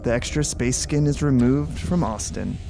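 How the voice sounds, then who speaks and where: A man's voice: medium pitch, gravelly, close to an everyday voice. Man as a solemn space station caretaker over music. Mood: solemn, grand. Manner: theatrical, deliberate, measured. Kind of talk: sci-fi audio drama acting.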